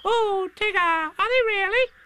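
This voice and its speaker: Squeaky, eager little kangaroo child. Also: male voice, measured pace, bright, forceful tone, exaggerated voice. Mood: eager, impressed. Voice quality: squeaky falsetto.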